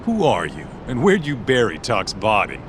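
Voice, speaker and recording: An actor playing a streetwise, sarcastic but cautious sidekick in a video game, bantering in slangy, skeptical style. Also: male voice, lowish voice, wildly swooping pitch, moderate pace, background noise. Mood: amused, sarcastic. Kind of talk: English game dub acting.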